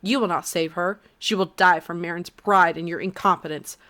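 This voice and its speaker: A woman's voice: fairly low pitch, steady tone, normal speed, a bit bright, lightly acted. A woman voicing a seething, contemptuous demon who threatens and mocks. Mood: seething menace. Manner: seething, menacing.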